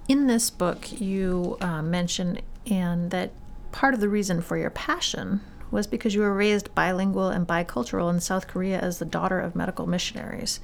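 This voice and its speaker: A woman interviewing two authors, asking measured, gently probing questions. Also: on the low side, creaky, slight background noise.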